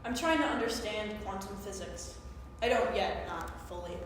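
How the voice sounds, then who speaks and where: A young woman on stage playing an earnest, geeky woman obsessed with physics in an animated, wistful monologue. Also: medium pitch, moderate pace, close to an everyday voice. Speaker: actor in a staged play reading. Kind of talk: theatrical monologue, explanatory. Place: live staged reading at a conference. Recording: very echoey hall, faint noise.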